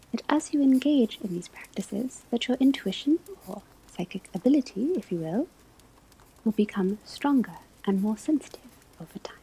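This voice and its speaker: Breathy, whispering witch-school teacher, acted by a woman. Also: fairly high pitch, dark tone, heavily acted, rough recording. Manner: measured, deliberate, formal lecturing. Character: patient female witch-academy divination teacher, gently stern, scholarly. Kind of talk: whispered ASMR classroom roleplay, lecturing.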